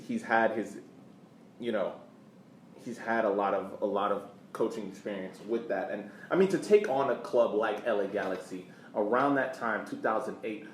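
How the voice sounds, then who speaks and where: Male co-host, lowish voice, halting explanatory chat, sitting back from the mic. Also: darkish tone. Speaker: sports podcast co-host. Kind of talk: casual soccer discussion. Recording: roomy, distant mic, faint noise.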